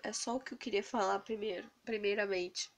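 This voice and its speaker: A young Brazilian woman with a cold, hoarse and stuffy-nosed, venting earnestly into her phone camera in a rambling casual vlog. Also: mid-range voice, steady tone, fairly quick. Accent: Brazilian Portuguese. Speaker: vlogger. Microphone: phone camera held close to the face.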